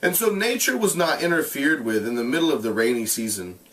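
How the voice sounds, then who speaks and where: A man's voice: medium pitch, lively intonation, a little fast, fairly bright tone, distant mic, rough recording. A man who sounds like a teacher, reading a novel aloud and explaining it in plain, casual terms. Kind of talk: read-aloud narration with casual explanatory asides.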